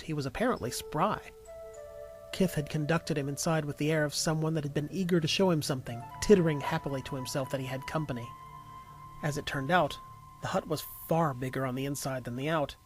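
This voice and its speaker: Higher-voiced male storyteller over music. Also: fairly quick. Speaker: audiobook narrator and voice actor. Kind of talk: scripted story narration. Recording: music behind the voice.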